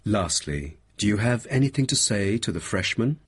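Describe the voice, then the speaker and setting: A man plays a polite male college coordinator in a scripted exam dialogue. His voice is fairly low and husky, with lively, swinging intonation under a formal manner. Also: normal speed. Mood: formal, inquiring. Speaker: programme staff member.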